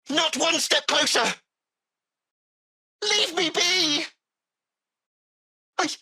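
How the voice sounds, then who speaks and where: An actor playing a polite artificial-intelligence car driver in a video game, with formal, courteous, hesitant phrasing and an anxious streak. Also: male voice, very high voice, vocal fry, sharp tone, full character voice. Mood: panicked. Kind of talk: acted video game dialogue.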